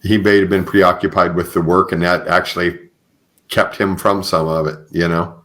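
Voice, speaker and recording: A man's voice: low-pitched, flat delivery, moderate pace, a bit bright. A man hosting a podcast interview, talking conversationally in an earnest, affirming way. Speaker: podcast host.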